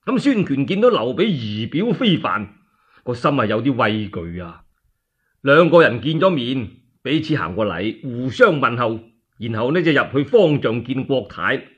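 A man telling a story for radio in colloquial Cantonese, dramatic and animated, with chatty asides to the listeners. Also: medium pitch, moderate pace, slightly rough. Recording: dull lo-fi radio recording.

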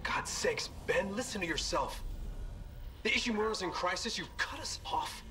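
Earnest spaceship doctor, highish voice, pleading but firm, noisy distant video log, game drama. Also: male voice, lively intonation, moderate pace. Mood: urgent, resolute. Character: earnest ship's doctor, male, pleading yet resolute.

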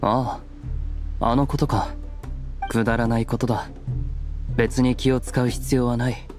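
A man's voice: mid-range voice, little pitch movement, unhurried, darkish tone, close to an everyday voice. An actor playing a stoic, guarded young nobleman in a video game, serious and restrained. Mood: dismissive, cool. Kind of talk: Japanese video game voice acting. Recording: studio voice over game music.

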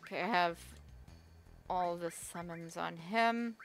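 A woman giving casual let's-play commentary, her voice raspy and strained, talking to herself and the viewers with quick asides. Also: fairly low pitch. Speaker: gamer playing a game on video. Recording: faint game music behind.

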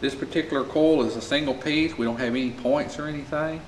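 A man's voice: on the high side, fast. American man teaching repair, echoey noisy room. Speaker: small engine repair instructor. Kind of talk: step-by-step hands-on repair tutorial. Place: indoor repair shop at a workbench. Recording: echoey room with clear background noise.

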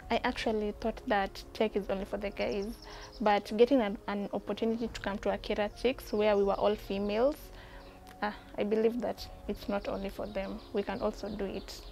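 A young woman from Sudan, a coding student giving an earnest, steady interview testimonial outdoors on a lapel mic. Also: medium pitch, brisk, vocal fry, faint music behind. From South Sudan. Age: young adult. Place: outdoor terrace.

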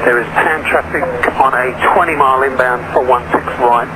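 A man's voice: medium pitch, fairly even intonation, a little fast. A man working as an air traffic controller, giving instructions to pilots over aviation radio. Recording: noisy aircraft radio transmission.

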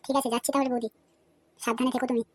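A woman's voice: a little high, level tone, very fast, a little hiss or hum, lightly acted. A woman voicing a cheeky, foul-mouthed young village man in a cartoon, brash and swearing often. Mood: eager. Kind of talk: cartoon voice acting. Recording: loud background music.

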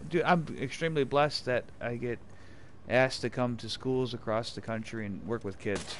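A man's voice: medium pitch, moderate pace, slight background noise. A man hosting a casual gaming stream, chatting with friends in a rambling, self-correcting way and laughing easily. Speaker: streamer and host.

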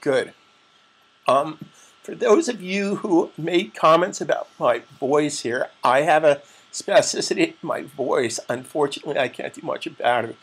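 Man, middle-aged, husky, gravelly, raspy strained voice, screen-recorded tutorial, patient explaining. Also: on the high side, normal speed, a bit bright, rough recording. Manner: plain, patient step-by-step explaining with frequent "okay?". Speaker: web design instructor.

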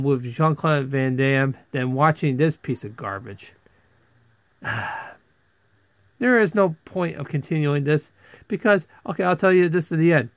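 A man hosts a casual review in a fairly high, very animated voice. He sounds exasperated and exclaims often, tripping over words as he rambles. The recording is dry and close, with a slightly dull, lo-fi tone. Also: no room sound. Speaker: host of a video review channel.

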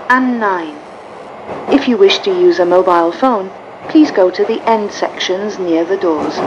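A woman reading a formal, courteous scripted announcement over a train's speakers. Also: mid-range voice. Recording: train speaker with clear railway noise. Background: train running noise.

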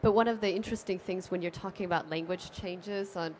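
A woman's voice: on the low side, steady tone, fairly quick, a little mellow, slight background noise. A woman activist on a panel, explaining earnestly at a table microphone. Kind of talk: panel discussion. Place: bookfair panel stage with an audience, indoors.

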